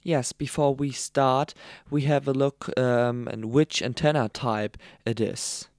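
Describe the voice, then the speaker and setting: A man with a German accent, a native German speaker, presenting a how-to narration with halting, hesitant non-native phrasing. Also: mid-range voice, steady tone, a little mellow. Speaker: tutorial presenter. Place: voice-over, dry close recording.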